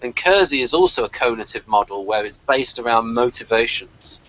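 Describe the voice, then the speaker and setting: Bright sharp-sounding guy explaining stuff, muffled lo-fi audio. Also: medium pitch, animated, moderate pace. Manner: explanatory, enthusiastic. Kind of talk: casual chat. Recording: dull lo-fi audio.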